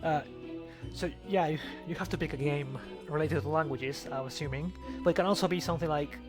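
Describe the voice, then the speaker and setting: A high-pitched male voice with music clearly playing behind it. He is a podcast co-host chatting casually while gaming, jokey and rambling, often repeating himself. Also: fairly quick. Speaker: podcast co-host and game player. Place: remote voice call.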